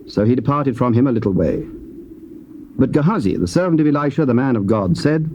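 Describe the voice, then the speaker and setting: An English man reading Bible narration in a steady, formal way on a lo-fi tape. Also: medium pitch, brisk, slight background noise. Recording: dull lo-fi tape.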